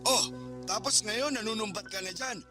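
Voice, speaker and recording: A man's voice: high-pitched, moderate pace, sharp tone, soft background music. A man playing an indignant, scolding father in a radio drama. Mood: accusing, indignant. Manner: confrontational, indignant. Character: angry, scolding father calling from abroad.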